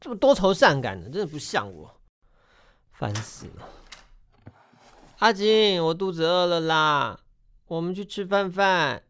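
A man's voice: high-pitched, very animated, a little slow, pauses often, a bit bright, smooth, exaggerated voice. A man playing a sulky, sentimental young person with whiny, babyish talk in a radio drama. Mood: sulky, playful.

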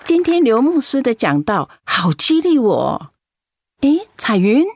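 Devout solicitous church friend, high-ish, very animated, dry radio-drama sound. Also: female voice, unhurried, dry recording, some chatter behind, heavily acted. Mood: excited, then concerned. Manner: expressive, solicitous.

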